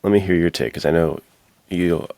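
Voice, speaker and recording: Very deep, creaky-voiced man. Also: moderate pace, warm tone. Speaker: podcast co-host. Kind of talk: casual film analysis.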